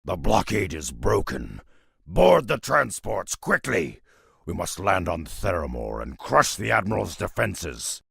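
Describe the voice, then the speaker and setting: A man voicing a grim, loyal half-ogre beastmaster in a fantasy video game, with a gravelly growl and solemn, terse military speech. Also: deep voice, big pitch swings, a little slow, fairly bright tone, no room sound, studio quality, full character voice. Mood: fierce, commanding.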